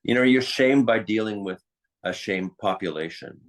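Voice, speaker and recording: A man's voice: on the low side, normal speed. An American man talking conversationally as an interview guest, explaining things in an opinionated way. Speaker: interview guest, psychiatrist and author.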